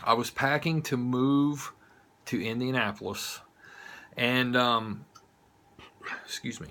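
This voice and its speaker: A man's voice: medium pitch, slow, bright, forceful tone. A man with a Southern US accent gives a casual, heartfelt monologue to viewers in an informal, blunt manner. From United States, not from the South. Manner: informal, blunt, occasional swearing. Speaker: host of an online horror show.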